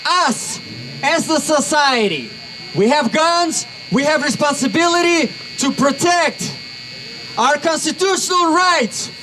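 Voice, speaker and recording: A young man with a Russian accent addresses an outdoor rally crowd, his voice pitched high and rising to shouts as he gets worked up. Noise hangs clearly behind him throughout the speech. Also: takes it slowly. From Uzbekistan. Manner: energetic, builds to shouts. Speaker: rally speaker. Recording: noisy outdoor crowd recording.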